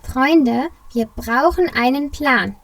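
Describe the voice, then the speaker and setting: A woman's voice: high voice, slow pace, a bit bright, no echo, full character voice. A woman playing an earnest, brave male Christmas elf in a children's audio play. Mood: determined.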